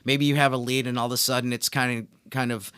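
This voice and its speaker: Sports podcast guy, clean smooth voice, measured and explainy, talks like normal convo, dry sound. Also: medium pitch, little pitch movement, fairly bright tone, dry recording. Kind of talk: conversational sports analysis.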